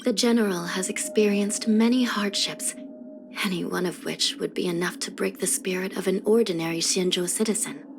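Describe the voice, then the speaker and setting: A woman playing a weary, grave young judge of the underworld in a video game, speaking softly in measured, formal phrases. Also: mid-range voice, steady tone, creaky, lightly acted. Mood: solemn, reflective. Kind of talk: scripted video game character voice lines. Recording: music under the voice. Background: background music.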